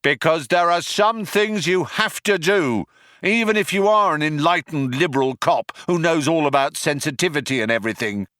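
A man plays a male alien cop in a comic sci-fi audiobook. He shouts in a raised, sharp voice that swings widely. His threats come out earnest and aggrieved, as if he wants to seem sensitive. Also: on the high side, expressive, normal speed, dry recording, studio quality, voice pushed up. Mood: shouted threats. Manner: earnest, self-justifying threats bellowed at a distance.

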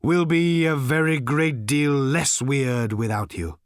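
A man's voice: mid-range voice, takes it slowly, dry recording, clean studio sound, lightly acted. A man voicing a grave, pious old village holy man in an audiobook, with pompous, archaic, cryptic pronouncements. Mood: solemn, pious. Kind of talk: audiobook character voice.

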